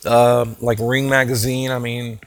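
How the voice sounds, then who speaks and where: A man with a hoarse voice from a cold, talking casually to his viewers as a channel host, rambling with many fillers and restarts. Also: medium pitch, level tone, measured pace. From Texas. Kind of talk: casual talk to camera.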